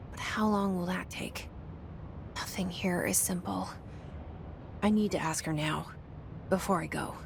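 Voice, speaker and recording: An actress playing a young woman's wary, self-doubting inner thoughts in a video game, hushed and introspective. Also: fairly low pitch, a little slow, creaky, pauses often, background noise. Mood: wary, questioning. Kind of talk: video game internal monologue.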